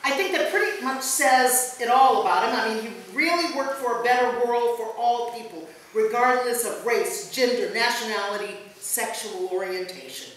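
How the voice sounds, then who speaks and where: A woman's voice: on the high side, moderate pace, few pauses. A woman pastor reading a written memorial tribute aloud at a church service, recorded from a distance. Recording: very echoey church, distant mic, faint noise.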